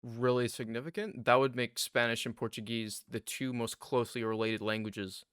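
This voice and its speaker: A man's voice: mid-range voice, fairly even intonation, moderate pace, a bit bright, smooth, no room sound, clean studio sound. American man hosting a reaction video. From United States. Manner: chatty, analytical. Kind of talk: casual commentary on video clips.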